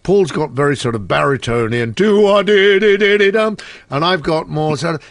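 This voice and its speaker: A man's voice: on the high side, expressive, normal speed, no echo, clean studio sound. Wry older man telling stories. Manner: chatty, wry storyteller. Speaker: musician guest. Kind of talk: anecdotal conversation.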